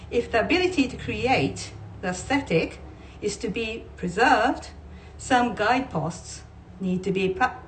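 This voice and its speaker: A woman's voice: mid-range voice, a little hiss or hum. Woman reading aloud, distant from the mic. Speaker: writer reading aloud from a book. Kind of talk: read-aloud of non-fiction with brief informal asides.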